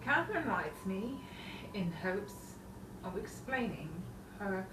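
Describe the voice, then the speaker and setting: An actress playing a solemn, burdened sister bearing tragic news, with a grave, measured theatrical delivery. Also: female voice, mid-range voice, very animated. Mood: grave, sorrowful. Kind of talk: 1950s-style romantic drama acting. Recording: roomy, distant, clearly noisy stage sound.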